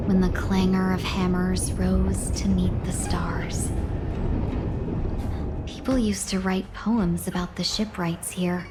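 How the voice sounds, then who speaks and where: A woman's voice: medium pitch, lightly acted. A woman voicing a sly, playful, genteel young businesswoman guide in a video game, coy and polished in manner. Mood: wistful.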